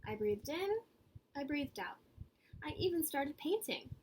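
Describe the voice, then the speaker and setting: Earnest, well-meaning storybook egg, read by a woman; high voice, dramatic pauses, audible background noise. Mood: calm. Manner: expressive, dramatic pauses and emphasis. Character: earnest, eager, weary good egg telling its story. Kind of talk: picture-book read-aloud.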